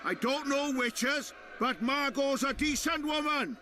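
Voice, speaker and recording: A man's voice: extremely high pitch, unhurried, noisy background. An actor playing a bawdy, outspoken townsman in a video game, speaking bluntly and defiantly. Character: bawdy outspoken male townsman defending friends.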